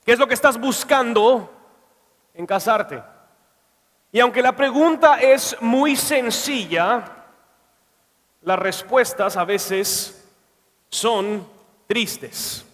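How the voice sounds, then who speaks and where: A middle-aged pastor who grew up in Mexico, preaching at a church conference in an earnest, measured way. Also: male voice, extremely high pitch, a bit bright. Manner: earnest, measured delivery with pauses. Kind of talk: conference sermon. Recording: echoey hall with long reverb.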